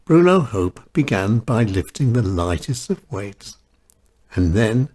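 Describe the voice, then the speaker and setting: Man, scripted story narration, low husky voice, very lively intonation, measured dramatic phrasing with pauses. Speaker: author reading own short stories.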